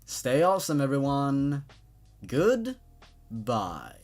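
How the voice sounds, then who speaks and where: A man hosting a horror story show, giving expressive, opinionated but apologetic commentary and often stammering and repeating words. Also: mid-range voice, drawn-out words, faint music behind. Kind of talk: reading and reviewing amateur horror stories.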